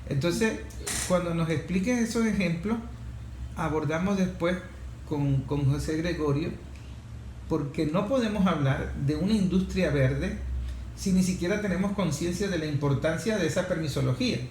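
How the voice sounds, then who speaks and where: A man with a Venezuelan accent hosts an interview in an echoey room with faint noise. His voice sits a little high, and he makes his points emphatically, repeating phrases as he goes. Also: moderate pace, a little mellow. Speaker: interviewer, show host. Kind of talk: conversational interview, explaining and asking at length.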